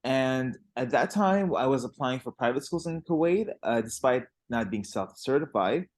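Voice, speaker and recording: Man, twenties, Arabic first language, average pitch, conversational interviewer. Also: lively intonation, moderate pace. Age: 20s. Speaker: interviewer, English teacher.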